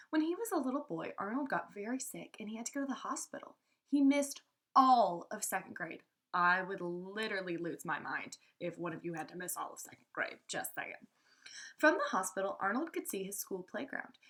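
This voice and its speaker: Animated woman reading aloud to children. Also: mid-range voice. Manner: expressive, addresses young listeners directly. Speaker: elementary school teacher reading aloud to students. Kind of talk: children's read-aloud of a picture book with casual asides.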